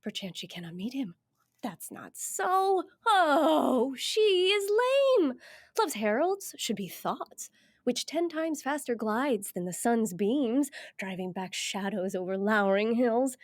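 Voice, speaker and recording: A woman's voice: on the high side, big pitch swings, slow, dry recording, studio quality, full character voice. A woman acting an impatient, lovestruck young noblewoman in classical Shakespearean stage style, eager and pleading. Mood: impatient longing.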